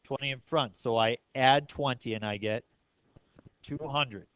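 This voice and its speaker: Guy teaching math, medium pitch, explains step by step, dry sound with no room echo. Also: unhurried, a bit bright, smooth. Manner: checks understanding with frequent questions. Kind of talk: classroom lesson, explaining step by step.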